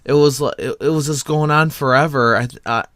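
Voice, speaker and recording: A man's voice: medium pitch, fairly even intonation, moderate pace, fairly bright tone, no room sound, studio quality. A man hosting a casual online panel chat in a joking, rambling way. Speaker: host of an online panel discussion.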